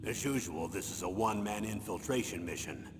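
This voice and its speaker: An actor playing a stern older military commander in a video game, formal, measured and authoritative. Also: male voice, fairly low pitch, lively intonation, natural voice. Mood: grave, measured. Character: stern older male military commander giving a mission briefing. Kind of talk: dramatic video game voice acting. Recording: noisy, long reverberant hall sound.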